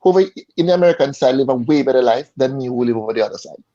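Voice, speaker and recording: A man's voice: medium pitch, lively intonation, rapid-fire, mellow. A man with a Jamaican accent talking casually as an interview guest, often repeating words as he searches for them. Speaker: interview guest, insurance advisor. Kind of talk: casual conversational interview answers. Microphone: online call. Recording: dull lo-fi online call audio.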